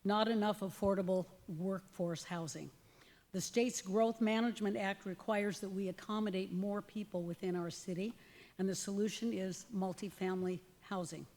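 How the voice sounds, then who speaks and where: Loud, firm woman at a podium mic, prepared remarks, reverberant chamber. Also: medium pitch. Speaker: public commenter. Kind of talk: prepared public comment. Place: council chamber. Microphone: podium microphone.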